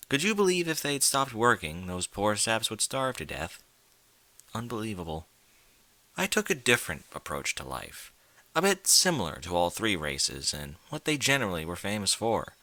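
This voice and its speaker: Guy narrating a fanfic, dramatic and emphatic, lowish bright voice, pitch all over, really dry recording. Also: dry recording. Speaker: story narrator. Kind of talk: dramatic scripted fan fiction narration.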